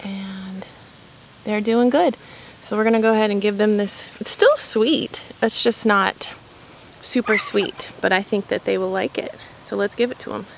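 A woman's voice: mid-range voice, steady tone, unhurried, gravelly, slight background noise, rough recording. A middle-aged woman giving a casual, upbeat tour of her backyard garden, talking to a handheld camera. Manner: chatty and upbeat, talking to the camera while working outdoors. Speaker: gardening vlogger. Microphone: handheld camera mic. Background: faint insects and crickets.

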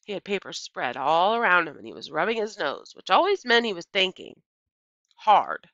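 Animated woman reading a children's novel aloud. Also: fairly low pitch, normal speed, no room sound. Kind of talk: children's novel read-aloud, scripted narration. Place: small indoor room with bookshelves. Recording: dull, lo-fi computer microphone sound.

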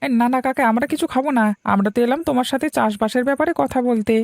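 A woman's voice: high-pitched, racing, vocal fry, a little mellow, dry recording. A woman voicing a worried, earnest village farmer man in a rustic cartoon story. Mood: polite. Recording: background music bed.

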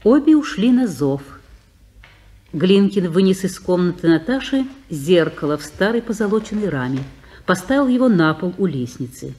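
A woman reading stage directions for a radio play in an even, measured way. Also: on the low side. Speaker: narrator.

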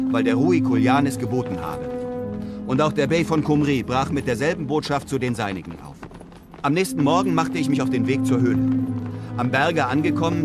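An actor playing an earnest, pious lone traveller and narrator in a scripted radio drama, speaking in a literary manner. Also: male voice, mid-range voice, lightly acted. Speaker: narrator and lead character.

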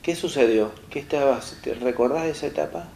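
A young adult man with an Argentine accent, interviewing a guest with gentle probing questions and finishing the guest's phrases. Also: mid-range voice, fairly quick. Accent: Argentine Spanish. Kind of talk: studio interview. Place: small studio set, seated in armchair. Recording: roomy echo, faint hiss.

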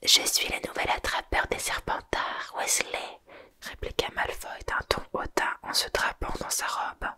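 A storyteller whispering a novel aloud in French in a breathy, unvoiced ASMR style, measured, with acted dialogue. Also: bright, forceful tone. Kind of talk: whispered audiobook reading, ASMR.

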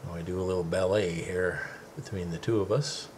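A man's voice: sits low, steady tone, fairly quick, darkish tone, a little hiss or hum. A middle-aged man narrating a home video of his family's day by a lake in an easygoing, chatty way. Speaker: father filming a family outing. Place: outdoors by a lake.

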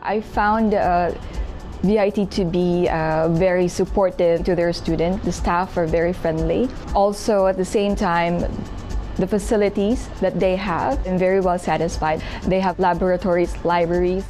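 A woman's voice: on the low side, fairly even intonation, moderate pace, dark tone. A young woman from the Philippines giving an earnest scripted student testimonial. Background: background music.

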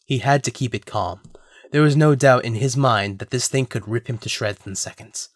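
A man's voice: medium pitch, moderate pace, dry recording, clean studio sound. A man narrating a scripted audio drama as a storyteller.

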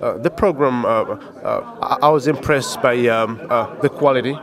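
A Ugandan man in his thirties answers questions haltingly, with many uhs and ums. He speaks at a middle pitch into a handheld mic. Behind him, a small crowd murmurs in an indoor room. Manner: hesitant, many fillers and restarts. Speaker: college soccer coach. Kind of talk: casual interview. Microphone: handheld mic held by the interviewer.

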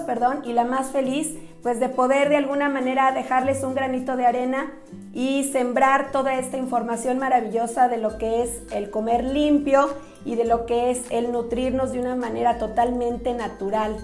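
A woman in her forties with a Mexican accent and a slightly hoarse voice, talking casually and effusively to the camera as a nutritionist hosting her show. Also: high-pitched, darkish tone. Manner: effusive, friendly, thankful. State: slightly hoarse, recovering from a sore throat. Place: small indoor office. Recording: echoey small room.

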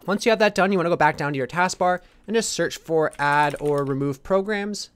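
A man's voice: a little high, a little fast, fairly bright tone, smooth. A man narrating a casual step-by-step computer tutorial as its host.

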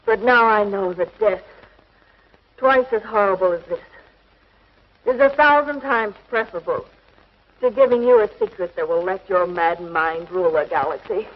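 Low-toned, defiant captive heroine. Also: female voice, very animated, normal speed, long pauses. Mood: weakened, defiant. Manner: earnest, melodramatic, resolute. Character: brave captive heroine defying her tormentor. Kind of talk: 1950s television serial acting. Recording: dull, lo-fi 1950s television soundtrack.

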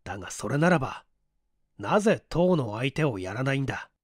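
Man reading as cool, unhurried tough man. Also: mid-range voice, sing-song, breathy edge, dry recording, clean studio sound. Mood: stern, unhurried. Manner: leisurely, cool, commanding. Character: calm, unhurried tough man coolly lecturing his beaten foe.

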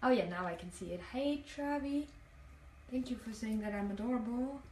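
A woman's voice: on the high side, moderate pace, a little mellow, a little hiss or hum. A young woman from Italian-speaking Switzerland, whose first language is Italian, chatting casually on a livestream while sitting on a hotel bathroom floor and reading comments aloud. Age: young adult. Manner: chatty, reads comments aloud, many fillers. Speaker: livestream host. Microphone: phone held at arm's length, talking to a mirror. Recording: echoey tiled bathroom, phone mic at a distance.

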